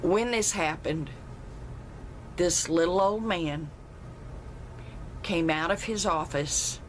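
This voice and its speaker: A woman with a loud, carrying voice, telling a personal story emphatically. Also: slow pace, a little hiss or hum, low-quality recording. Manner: emphatic, rises in pitch when retelling her protest.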